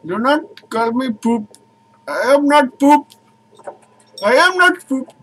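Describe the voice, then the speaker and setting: A man's voice: very high voice, animated, takes it slowly, fairly bright tone, slightly rough, voice pushed up, full character voice, rough recording. A man doing a brash, boastful character with a put-on Indian accent, swearing and mock-threatening in stilted phrasing. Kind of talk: crude improvised comedy bit.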